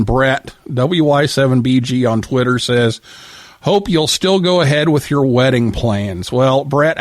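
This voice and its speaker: A man hosting a live call-in show, casually reading out listener messages in a halting way with repeated words. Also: mid-range voice, no echo, clean studio sound. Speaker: radio show host.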